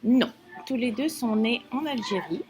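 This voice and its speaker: A woman, answering interview questions in an earnest, hesitant way with many pauses and fillers. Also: a little high, background noise. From Algeria. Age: 50s.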